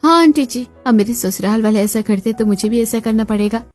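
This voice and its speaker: A woman voicing a put-upon young bride in a family cartoon, expressive and dutiful, given to muttering. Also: fairly high pitch, fairly quick, soft background music, close to an everyday voice.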